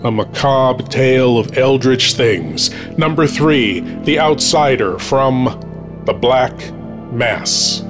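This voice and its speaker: A man announces a scripted countdown over clear background music. He has a medium-pitched voice and a slow, deliberate delivery as host. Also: animated. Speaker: podcast host.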